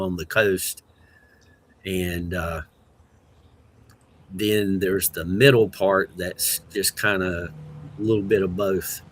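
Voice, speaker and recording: Slow folksy Appalachian man. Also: lowish voice, a bit bright, a little hiss or hum. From North Carolina mountains. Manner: slow, folksy, hesitant with many uhs and corny jokes. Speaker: host. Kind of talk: casual livestream chat.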